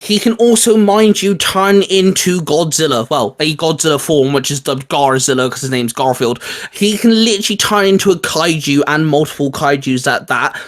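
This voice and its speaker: Man, slightly high voice, co-host, casual geek chat, explanatory, informal blunt slang. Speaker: co-host of a geek discussion show. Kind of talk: casual chat about comic-book fiction.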